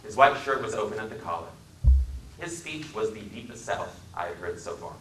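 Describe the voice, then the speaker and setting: A man from Wisconsin giving a dramatic reading in a library, measured and dryly wry in his storytelling. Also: lowish voice, sing-song, roomy sound, recorded from a distance, noisy background. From Wisconsin, USA. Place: public library room.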